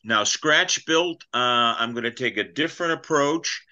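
A man's voice: mid-range voice, lively intonation, a little slow, sharp tone, dull audio. An older man chatting casually on a video call about his model-building plans, rambling and self-deprecating, often trailing off mid-thought. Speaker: clinic presenter. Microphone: video call webcam.

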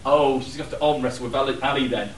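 A man running a charity auction with jokey, quick patter, calling out bids to a crowd. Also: mid-range voice, a little hiss or hum. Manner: lively, self-mocking, rattles off bids. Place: hotel function room at a convention. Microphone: far room camera. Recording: echoey room, distant camera.

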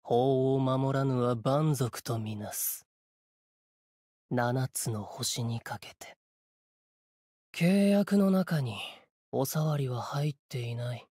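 A man voicing a solemn, cryptic sword spirit warrior in a Japanese game, with measured, portentous, archaic phrasing and a breathy voice. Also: medium pitch, mellow, dry recording, studio quality. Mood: cool, imperious. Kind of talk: Japanese game character voice lines.

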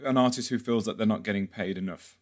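A man's voice: on the low side, quick pace. A man hosting a podcast discussion, hesitant, with repeated words and fillers. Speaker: podcast host.

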